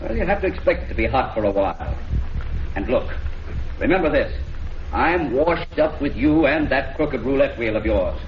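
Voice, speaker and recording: A man's voice: mid-range voice, animated, moderate pace, natural voice. A man playing an agitated young schemer, defensive and curt, in a 1940s radio crime drama. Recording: roomy, dull, noisy old radio recording.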